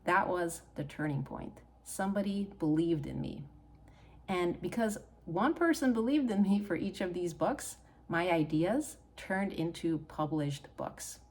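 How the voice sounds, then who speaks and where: A woman's voice: lowish voice, expressive, unhurried. A middle-aged woman from Hungary with a Hungarian accent, talking earnestly and encouragingly to camera as a channel host. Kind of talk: personal talk to camera.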